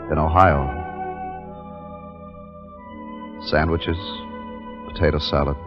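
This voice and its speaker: An actor playing a measured, authoritative army captain who narrates his wartime mission in a 1950s radio drama. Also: very deep voice. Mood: wistful, dreamy. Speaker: narrator and commanding officer. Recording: dull 1950s radio recording with music.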